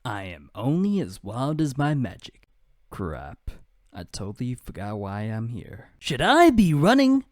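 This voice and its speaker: A man's voice: mid-range voice, wildly swooping pitch, a little slow, no room sound, studio quality. A man doing amateur voice acting of game character lines, theatrical and changing from one character voice to another.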